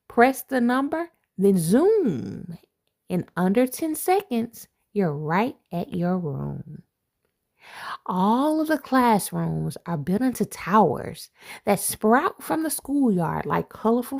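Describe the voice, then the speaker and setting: A woman, an assistant principal, reading a rhyming picture book aloud to children, with playful asides and expressive delivery. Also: mid-range voice, vocal fry.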